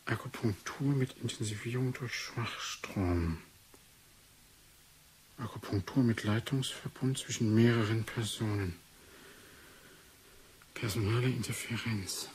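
A man's voice: fairly low pitch, a little slow, long pauses, a little hiss or hum, natural voice. Grumbling male researcher played by an actor. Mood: reading, muttering. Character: grumbling, reluctant male neuropsychology researcher and doctor. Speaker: researcher character in a radio play. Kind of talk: 1980s German radio drama acting.

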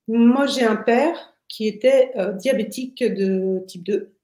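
A woman of sixty-six gives a personal account in conversation, often halting to find her words. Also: a little high, mellow. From Paris, France. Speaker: interview guest, retiree. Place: indoors, plain room on video call. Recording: roomy, echoing video call.

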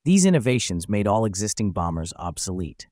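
A man narrating a scripted aviation history video in an even, factual reading. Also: on the low side, a little fast, no room sound, clean studio sound.

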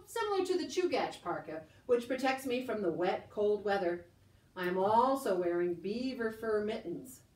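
A woman's voice: mid-range voice, lightly acted. Echoey, playful puppet chief voiced by a woman. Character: bashful yet boastful, playful Eyak chief puppet. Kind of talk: educational puppet show monologue. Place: indoor room, puppet stage. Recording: echoey room, slightly distant mic.

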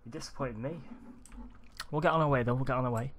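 A young man with a Manchester accent, rambling excitedly over a video game, his morning voice groggy and deep. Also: fast talker, slight background noise. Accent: Manchester English. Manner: rambling, excitable, swears often. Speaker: let's play gamer. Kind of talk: casual gameplay commentary.

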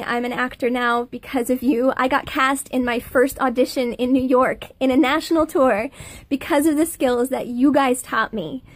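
A woman in her thirties with a high-pitched voice, recording a personal video message, earnest and halting with many pauses. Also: dry recording. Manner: earnest, halting, many fillers, laughs between phrases. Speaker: former student giving a thank-you testimonial.

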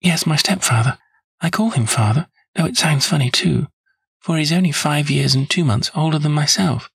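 A man's voice: a little high, moderate pace, gravelly, fairly bright tone, breathy edge, no echo, pitched above the performer's own voice, exaggerated voice. A man voicing a nervous, chatty, good-humoured young woman in an audiobook story. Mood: chatty, earnest. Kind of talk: audiobook character voice.

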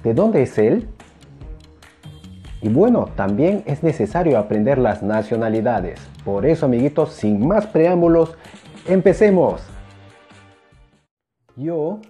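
A young man teaching a language lesson to camera in an emphatic, animated manner, repeating phrases. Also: mid-range voice, slow, pauses often, soft background music. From Peru. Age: young adult. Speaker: Spanish teacher. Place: small indoor room, plain wall. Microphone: clip-on lapel mic.

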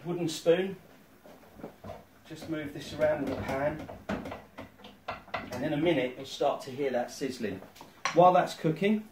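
A man's voice: on the high side, measured pace, pauses often, noisy background. A middle-aged man giving step-by-step cooking instructions in his home kitchen, filmed by a phone camera across the room. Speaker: home cook. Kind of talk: cooking demonstration. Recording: roomy kitchen sound from a distance. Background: sizzling pan and boiling water.

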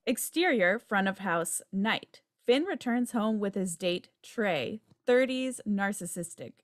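A woman narrates stage directions from a script. Her pitch is average for a woman, and the sound is dry with little room in it. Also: animated, takes it slowly, dry recording. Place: indoor room on a video call. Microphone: video call.

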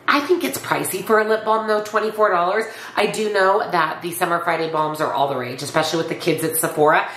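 Woman w a cold, raspy nasal voice, kinda low, chatty makeup talk in an echoey room. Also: fairly bright tone, a little hiss or hum. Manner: chatty, reads product copy aloud. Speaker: beauty YouTuber. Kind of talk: casual makeup review. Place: home beauty room with shelves, indoors.

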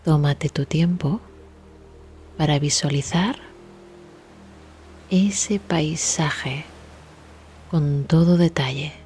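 A woman leading a guided relaxation meditation in a soft, breathy, near-whispered voice, soothing and gentle, with long pauses. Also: low voice, soft background music. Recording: steady ambient sea sound behind voice. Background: sea waves ambience.

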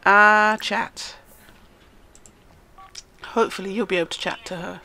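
A woman's voice: medium pitch, fairly even intonation, unhurried, pushed and bright, slight background noise. A woman hosting a casual let's-play, chatting to the game characters as she plays, sounding nasal and stuffed up from a cold. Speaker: gaming channel host.

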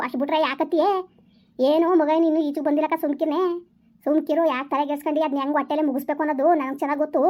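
A woman's voice: sits high, darkish tone, natural voice. A woman voicing a scheming rural mother who scolds and reassures her daughter while plotting revenge. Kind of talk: village family drama acting.

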